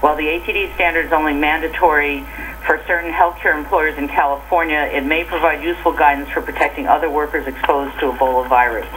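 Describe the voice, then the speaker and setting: A woman's voice: low-pitched, fairly even intonation, fast talker, fairly bright tone, slight background noise, low-quality recording. An American woman giving a prepared briefing as a government safety official over a telephone conference line.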